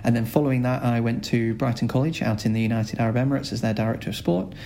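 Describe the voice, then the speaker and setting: A man with a Lancashire accent, a school's director of sport, answering podcast interview questions in a chatty, rambling way with many filler pauses. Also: mid-range voice, vocal fry, mellow, slight background noise. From Lancashire, England.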